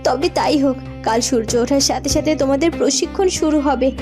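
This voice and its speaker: A woman's voice: sits high, fairly quick, lightly acted. A young cartoon princess acted by a woman addresses her subjects in a solemn, declamatory way. She is compassionate yet stern, with light music behind her. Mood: firm. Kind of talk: children's fairytale cartoon acting.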